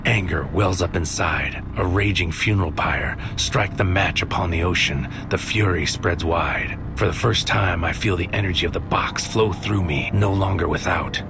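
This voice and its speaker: A man's voice: low-pitched, normal speed, few pauses. An actor playing a tormented, brooding man with godlike power, giving a solemn, intense poetic monologue in a sci-fi audio drama. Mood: bitter anger. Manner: solemn, intense, incantatory, often dropping to whispers. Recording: loud music under the voice. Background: loud music bed.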